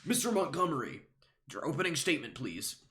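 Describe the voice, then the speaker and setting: A man voicing a brisk, authoritative, formal courtroom judge who declaims, in an amateur solo script reading. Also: fairly high pitch, very animated, bright, forceful tone, a little hiss or hum, voice pushed up. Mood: formal, commanding.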